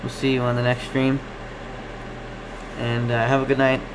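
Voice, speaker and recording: A man's voice: medium pitch, completely flat delivery, normal speed, background noise. A man hosting a casual live stream, chatting with viewers and thinking aloud in a rambling, distracted way. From Canada. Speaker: live stream host, radio hobbyist. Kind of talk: casual live-stream chat, reading and answering comments.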